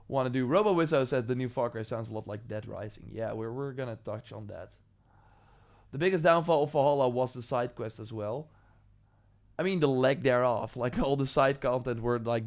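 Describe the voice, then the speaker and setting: Dutch guy hosting a live stream, reads out chat, loose and rambly, lots of ums, mid pitch. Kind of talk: casual live-stream talk, reading chat.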